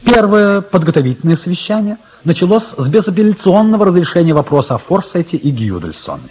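Animated, higher-voiced male radio play narrator. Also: fast talker, dull audio. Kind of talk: scripted literary narration, dramatic.

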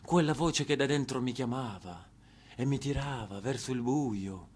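A man's voice: mid-range voice, expressive, measured pace, breathy edge. A man from Apulia with a southern Italian accent, delivering a theatrical monologue in an emphatic, histrionic manner, repeating words for effect. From Apulia, southern Italy. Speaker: stage actor and monologist.

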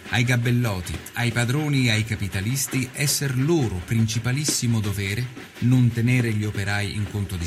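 Man, mid-pitched, scripted dramatic narration, measured, reflective, literary, faint background ambience. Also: background noise. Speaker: narrator and storyteller. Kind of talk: scripted dramatic narration of a historical first-person account. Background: ambient soundtrack.

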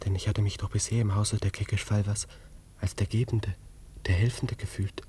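An actor playing a proud but self-doubting young cavalry officer in a German radio drama, brooding and questioning himself. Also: male voice, on the low side, flat delivery, normal speed, warm tone, far from the mic, a little hiss or hum, close to an everyday voice. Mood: brooding, agitated.

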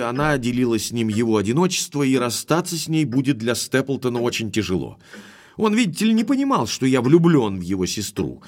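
Hot-headed young baronet, swooping lively voice. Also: male voice, mid-range voice. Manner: expressive, emphatic, blunt. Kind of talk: audio drama acting.

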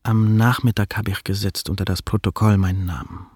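An actor playing a guarded young butcher's son in a radio play, hesitant, with Yiddish-influenced German. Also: male voice, fairly low pitch, brisk, darkish tone, breathy edge, dry recording, clean studio sound. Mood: reflective. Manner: hesitant, inverted word order.

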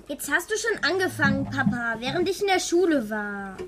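A woman's voice: high voice, sing-song, moderate pace, noisy background. A female performer playing an earnest schoolgirl daughter, persistent and chiding, in a children's radio play. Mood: insistent. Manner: matter-of-fact, persistent, chiding.

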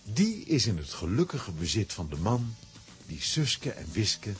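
A man's voice: fairly low pitch, sing-song, unhurried, soft background music. A man narrating a children's adventure radio play as storyteller. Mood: storytelling. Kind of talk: scripted dramatic narration for children.

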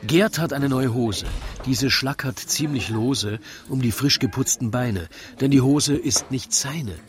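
A man reading a comic rhymed narration in a wry, theatrical, measured way. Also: medium pitch. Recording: lively crowd chatter behind. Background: crowd murmur.

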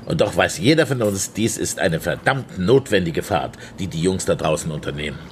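An actor playing a sardonic former leftist given to mock-solemn speeches in a German radio drama. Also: male voice, deep voice, animated, moderate pace, a little hiss or hum.

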